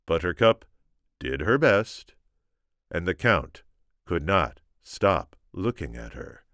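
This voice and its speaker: A man reading an audiobook, telling the story in a measured, dramatic way. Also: sits low, very animated, creaky, fairly bright tone, dry recording, clean studio sound. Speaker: audiobook reader. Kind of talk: scripted story narration with informal asides.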